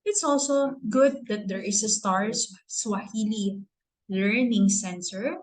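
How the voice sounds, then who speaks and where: A woman's voice: mid-range voice, slow pace, low-quality recording. Earnest Filipino woman giving advice. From Philippines. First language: Tagalog. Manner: earnest, preachy, asks rhetorical questions. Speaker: guest speaker. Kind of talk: motivational talk.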